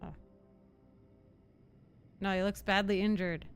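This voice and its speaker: A woman's voice: medium pitch, fairly even intonation, slow pace, clean tone. A woman live-streaming a game, chatting casually with viewers in a giggly, reactive manner. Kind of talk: casual live gameplay chat. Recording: game music behind the voice. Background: video game music.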